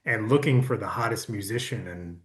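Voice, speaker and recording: Low-voiced man reflecting casually. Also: brisk. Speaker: audiobook producer and musician.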